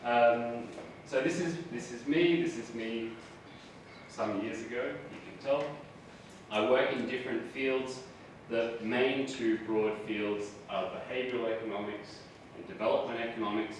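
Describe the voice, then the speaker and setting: A man's voice: mid-range voice, steady tone, measured pace. Australian man lecturing in an echoey hall. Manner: chatty, self-deprecating, asks the audience questions. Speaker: guest lecturer. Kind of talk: university lecture. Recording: echoey hall, voice from far off, noisy.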